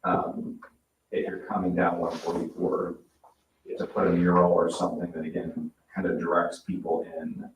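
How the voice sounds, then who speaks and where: A man's voice: low-pitched, completely flat delivery, moderate pace, a little hiss or hum. A man offering his thoughts informally in a meeting. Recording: echoey room, distant mic.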